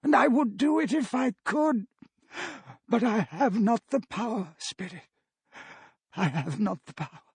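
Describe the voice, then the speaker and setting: A man's voice: sits high, animated, a little slow, long pauses, slightly rough, dry recording, voice pushed up, heavily acted. A man voicing a frightened, repentant old miser who pleads in a trembling, exclamatory way in a storybook reading. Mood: anguished pleading. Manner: tremulous, beseeching, exclamatory.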